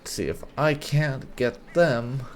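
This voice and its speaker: A man hosting a casual video game playthrough, haltingly thinking aloud as he comments. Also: medium pitch, fairly even intonation. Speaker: gaming channel host. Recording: game ambience faintly behind commentary.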